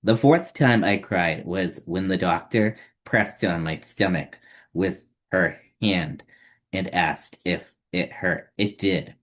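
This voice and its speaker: A man reads a picture book aloud as a storytime host for children. His voice sits low, and he takes the narration slowly, phrase by deliberate phrase. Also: fairly bright tone.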